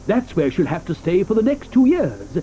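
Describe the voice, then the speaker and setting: An actor playing an eccentric, fussy male chocolate maker in an audio drama, talking theatrically with many hesitant pauses. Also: high-pitched, wildly swooping pitch, mellow, slight background noise. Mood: hurried, eager. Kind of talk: 1980s audio drama acting. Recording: studio audio drama with music behind.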